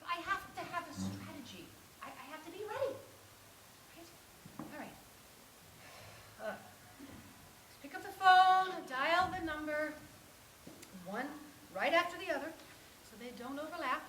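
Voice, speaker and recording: An actress playing an anxious, clumsy, lovelorn woman in a theatrical monologue, halting and scolding herself with dramatic swings. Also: sits high, expressive, fairly bright tone. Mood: awkward, distressed. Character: anxious, clumsy, lovelorn mistress rehearsing a dreaded phone call. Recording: echoey room with faint noise.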